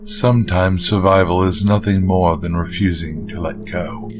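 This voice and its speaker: Guy doing an ancient weary occultist, super low voice, gentle n grave, gothic audio drama, music behind. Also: fairly even intonation, natural voice. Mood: weary, consoling. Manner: gentle, measured.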